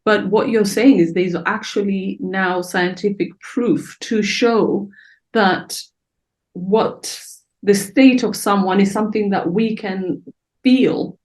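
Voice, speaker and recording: A woman in her forties from Somalia, native Somali speaker, hosting an interview over video call and asking eager, animated questions. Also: medium pitch, takes it slowly. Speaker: podcast host. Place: small bright room at home.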